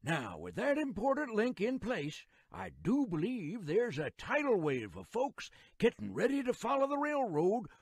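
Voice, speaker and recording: Raspy, high-pitched folksy old-timer. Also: male voice, huge pitch swings, unhurried, few pauses, no echo, clean studio sound, exaggerated voice. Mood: encouraging, folksy. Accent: rural American. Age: older. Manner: folksy, chuckling, rambling drawl. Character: folksy, teasing elderly male railroad museum caretaker. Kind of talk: character narration for a video game.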